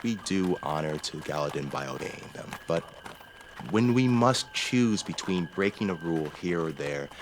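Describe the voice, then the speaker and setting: An actor playing a courtly, calculating young nobleman in a fantasy audio drama, speaking formally, deliberately and politely. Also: deep voice, slight background noise, poor audio. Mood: calmly persuasive.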